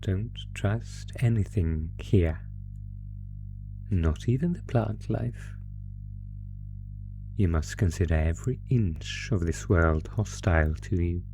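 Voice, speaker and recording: A man voicing a sinister, sadistic monster from under the bed in a horror roleplay, taunting his prey in a deliberate, mock-genteel, theatrical way. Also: deep voice, background noise. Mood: ominous, amused. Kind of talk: horror roleplay audio, slow theatrical menace. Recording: music under the voice. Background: music.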